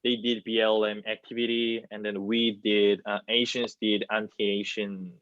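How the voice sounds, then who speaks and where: A man in his twenties from South Korea with a Korean accent, giving halting answers full of repeated phrases on a video call. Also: fairly low pitch, little pitch movement, fairly bright tone, no room sound. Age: 20s. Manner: halting, repeats phrases, non-native English. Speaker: theater student. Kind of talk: hesitant spoken answer in an online panel discussion. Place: small plain indoor room. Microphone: video call, wireless earbuds. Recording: muffled video-call audio.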